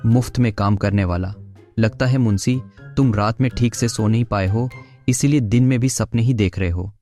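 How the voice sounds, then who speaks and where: A man's voice: lowish voice, moderate pace, dark tone, natural voice. A man voicing a stingy, shrewd rich village boss in a cartoon, with a condescending manner. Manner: condescending, sarcastic. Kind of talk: Hindi cartoon voice acting. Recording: music behind the voice.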